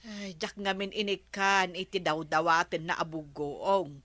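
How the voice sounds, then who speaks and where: A woman's voice: on the low side, steady tone, a little slow, sharp tone. An actress playing a defensive, chatty village woman with an Ilocano Filipino accent and a plaintive way of protesting in a radio comedy drama.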